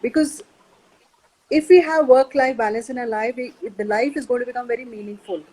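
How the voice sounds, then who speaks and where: Husky, fairly high female panelist, earnest and methodical. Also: a little fast, low-quality recording. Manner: earnest, listing points in steady rhythm. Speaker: panel guest. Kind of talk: panel talk.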